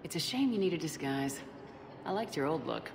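A woman's voice: low-pitched, big pitch swings, fairly quick, gravelly, background noise, full character voice. A woman playing a composed, resolute military commander in a video game. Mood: friendly.

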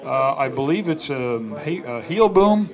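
A man's voice: medium pitch, animated, takes it slowly, dull audio. A man making an informal, somewhat tentative announcement. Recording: crowded room chatter.

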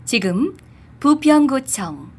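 A woman reading scripted subway station announcements in a formal, polite, measured way. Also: high-pitched, sing-song, husky. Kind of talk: scripted public transit announcement.

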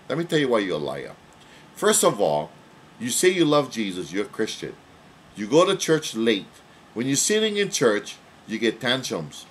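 A man's voice: mid-range voice, slight background noise. A man preaching a confrontational sermon, blunt and mocking, firing repeated questions at the listener. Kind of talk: confrontational street-style sermon.

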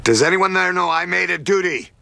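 A man voicing a tough government agent, terse, intense and demanding, in an animated action-thriller parody. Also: high-pitched, a little fast, gravelly, no echo, full character voice. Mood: urgent. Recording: noisy action soundtrack with gunfire.

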